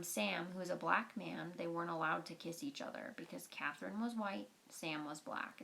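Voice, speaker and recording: A woman's voice: lowish voice, creaky, a bit bright, slight background noise. A woman reading a storybook aloud as a storyteller, explaining things in simple, informal words.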